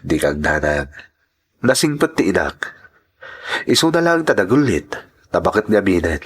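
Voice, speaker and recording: Man acting a husky, reassuring Ilocano priest. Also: sits low, sing-song, normal speed, a bit bright, heavily acted. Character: reassuring, persuasive male priest, devoted son. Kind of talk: radio drama acting.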